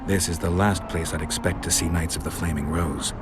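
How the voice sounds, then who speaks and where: An actor voicing a stoic, sardonic monster hunter in a video game, dry, terse and deadpan. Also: male voice, low-pitched, brisk, natural voice. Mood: wry, questioning. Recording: music behind the voice.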